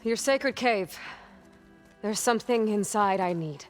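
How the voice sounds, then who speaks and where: A woman's voice: medium pitch, unhurried, lightly acted. An actress playing a blunt, determined young outlander in a video game, direct and practical in her delivery. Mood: impatient, determined. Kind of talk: naturalistic video game acting. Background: background music.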